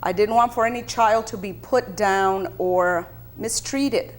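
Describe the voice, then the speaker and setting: A woman from South Texas with a medium-pitched voice speaks as an interview guest. She is a bilingual teacher whose native language is Spanish. She tells personal recollections in a measured, deliberate manner. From South Texas, United States.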